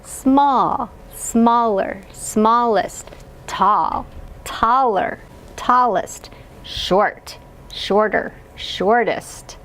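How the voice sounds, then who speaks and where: Slow-paced woman educator with slight creak. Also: medium pitch. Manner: explanatory, modeling questions as if to a young child. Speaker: early childhood educator guiding parents. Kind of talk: instructional read-aloud guidance.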